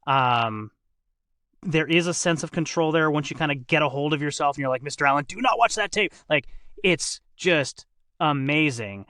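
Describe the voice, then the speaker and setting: A man hosting a casual interview, effusive in his praise and often halting as he searches for words. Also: on the high side, expressive, sharp tone, no room sound, clean studio sound. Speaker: podcast host.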